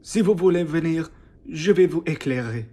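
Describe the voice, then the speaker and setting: A woman's voice: deep voice, lively intonation, normal speed, noisy background. A woman playing a businesslike, indifferent innkeeper passing on orders in an amateur radio play. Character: matter-of-fact innkeeper bearing an officer's orders.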